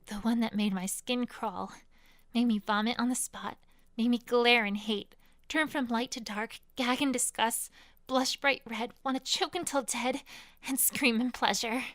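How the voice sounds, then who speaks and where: Woman reading a pony fanfic as the proud queen, kinda high voice, no room echo, story narration. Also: steady tone, unhurried, a bit bright, studio quality. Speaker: fan fiction reader. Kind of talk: first-person dramatized story narration in character.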